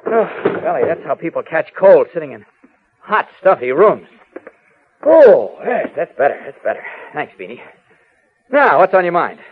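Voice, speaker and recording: A calm but insistent newspaper reporter in vintage radio drama. His slightly high voice moves with lively inflection as he probes and reassures. It comes through thin and phone-like, like an old broadcast. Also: a little hiss or hum. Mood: breezy, uncomfortable. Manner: brisk, probing, reassuring. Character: level-headed, inquisitive male newspaper reporter, calmly insistent. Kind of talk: 1940s-50s radio drama acting.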